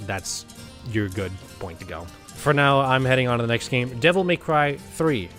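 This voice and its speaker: A man's voice: medium pitch, normal speed, fairly bright tone, faint music behind. A man hosting a casual video game review, talking in a blunt, rambling, conversational way.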